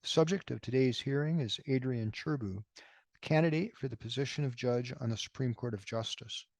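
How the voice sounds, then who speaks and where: A man chairing a public commission hearing, reading procedural statements in a measured, deliberate way. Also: medium pitch, a little mellow, no room sound. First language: not Romanian. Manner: measured, deliberate official delivery.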